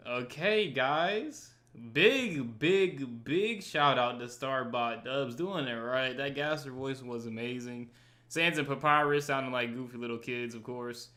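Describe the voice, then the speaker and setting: A man's voice: medium pitch, unhurried, sharp tone. A young man hosting a reaction video, talking to viewers in casual, slangy, emphatic commentary. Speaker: YouTube reaction host. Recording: music playing behind the talk. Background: guitar music.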